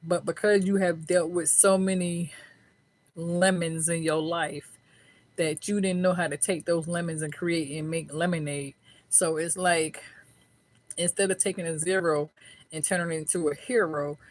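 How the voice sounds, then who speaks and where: A woman's voice: lowish voice, fairly even intonation, moderate pace. A middle-aged woman giving a casual tarot reading, talking in a chatty, folksy way, swearing freely and often checking in with "right?". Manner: colloquial American speech. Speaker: tarot reader.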